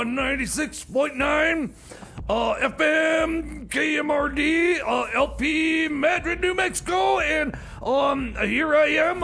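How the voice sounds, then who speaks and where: A man's voice: very high voice, creaky, fairly bright tone, heavily acted. A man playing a flustered, defensive rookie host in radio comedy, stammering and repeating himself as he hesitantly rambles. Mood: nervous, excited. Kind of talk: comedy radio theater, live music-show hosting.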